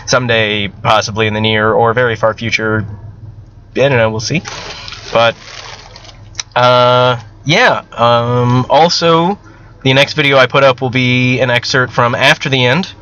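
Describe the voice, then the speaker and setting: A man with a bright, sharp-edged voice at an average pitch talks off the cuff to his viewers. He rambles in a candid, self-deprecating way and drops casual profanity as he goes. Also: steady tone, a little slow, highs cut off, slight background noise. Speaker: amateur novelist and video host. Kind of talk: casual, unscripted talk to viewers.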